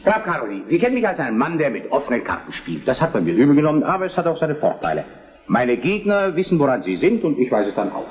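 A man's voice: fairly high pitch, very animated, fast. An actor playing a shrewd, self-assured Prussian statesman with formal, courtly delivery in a historical radio play. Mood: courteous. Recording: dull, muffled recording with long hall reverb.

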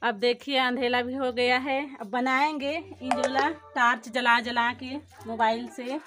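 High-pitched village woman vlogging by phone. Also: level tone, measured pace, a bit bright, faint music behind. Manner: chatty, addresses viewers directly. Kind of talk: casual narration to camera. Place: village courtyard and home at night. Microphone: phone held at arm's length.

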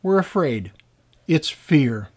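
A man in his sixties, an author and teacher, giving a scripted talk to camera in deliberate, emphatic phrases with pauses. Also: fairly high pitch, very animated, a little mellow, breathy edge. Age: 60s.

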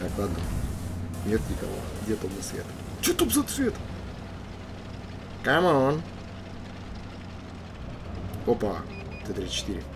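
A man's voice: lowish voice, huge pitch swings, slow, creaky, pauses often. A man with a bad cold hosting a casual let's play in a jokey, slangy way. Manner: jokey, playful, loose slangy talk. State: sick with a cold, coughing. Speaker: gaming video host. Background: game music and tank engine sounds.

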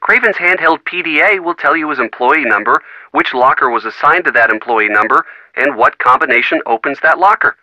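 A man's voice: fairly high pitch, expressive, a little fast, bright, forceful tone, no echo, rough recording. An actor voicing a helpful, wry young man giving hints in a video game.

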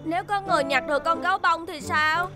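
A woman's voice: very high-pitched, fast talker, over music. A woman voicing a small, helpful rescue team member in a dubbed children's cartoon. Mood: concerned.

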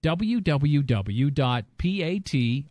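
A man's voice: fairly high pitch, very animated, drawn-out words, a little mellow, no echo. A man reading a scripted podcast promo as its announcer.

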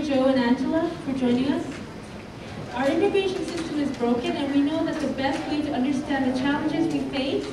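A high-voiced woman emcees from a podium in a large hall and reads out the names of the speakers. Her voice echoes heavily around the room, and the recording picks it up from far off with some background noise. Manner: clear, announcing names. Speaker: event emcee. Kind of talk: introducing speakers. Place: large meeting hall, indoors. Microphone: handheld mic at podium, far camera.

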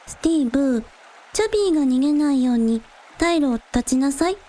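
A woman's voice: high voice, lively intonation, a little fast, very noisy. A woman playing a casual, blunt young village idol in a radio drama, with breezy, slangy, matter-of-fact delivery. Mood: resolute. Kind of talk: Japanese radio drama acting.

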